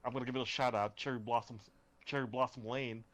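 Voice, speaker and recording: A young man hosting a casual podcast chat, asking questions in halting restarts. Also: medium pitch, steady tone, fairly bright tone. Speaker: podcast host.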